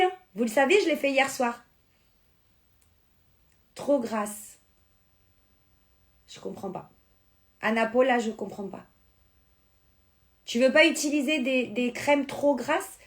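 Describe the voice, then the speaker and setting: A woman whose first language is Spanish, chatting casually on a live stream as she sells beauty products and answers viewers' comments. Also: high-pitched. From born in France, Spanish family.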